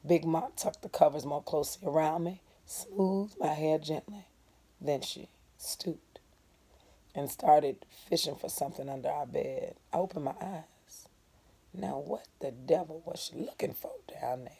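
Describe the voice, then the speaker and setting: A woman narrates a novel in the first person with dramatic expression. Her voice sits low, with a mellow, husky grain, and she can drop into hushed, breathy tones. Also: a little slow. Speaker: storyteller reading a novel aloud.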